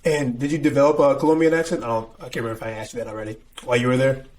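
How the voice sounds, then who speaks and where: Mid-pitched man hosting an interview. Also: level tone, very fast, darkish tone, slight background noise. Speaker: interview host. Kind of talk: casual interview questions.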